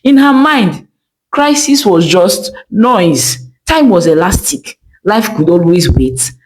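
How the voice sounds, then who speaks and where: A woman's voice: mid-range voice, animated, takes it slowly, rough recording. A woman narrating a folktale as a storyteller, with dramatic, emphatic phrasing.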